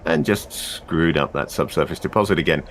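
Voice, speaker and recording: A man's voice: a bass voice, a little fast, slight background noise. A man grumbling dryly while playing a game with a friend. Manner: dry, grumbling, self-deprecating. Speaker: co-player. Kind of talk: casual gaming chat.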